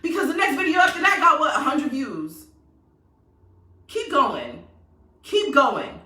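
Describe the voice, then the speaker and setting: A sassy woman with a New York accent speaks in a high, bright voice that swoops all over the place. She rants passionately and hammers her points home. Her voice sounds echoey and a little distant from the mic. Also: unhurried. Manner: animated, sassy, emphatic repetition. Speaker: vlogger. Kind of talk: passionate direct-to-camera rant.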